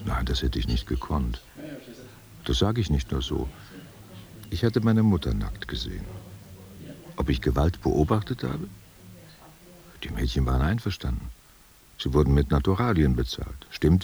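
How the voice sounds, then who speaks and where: An actor playing an old war veteran in a radio play, giving halting, evasive confessions in a gravelly, husky voice. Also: male voice, a bass voice, darkish tone, exaggerated voice. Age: older. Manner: halting, defensive answers to unheard questions. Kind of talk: literary radio play monologue.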